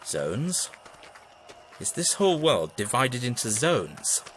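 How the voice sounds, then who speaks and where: An actor playing a wary, inquisitive time-travelling Doctor with dry irony and a halting, musing manner in an audio drama. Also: male voice, medium pitch, big pitch swings, fairly bright tone, a little hiss or hum. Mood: puzzled, suspicious. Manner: halting, musing, with wry asides.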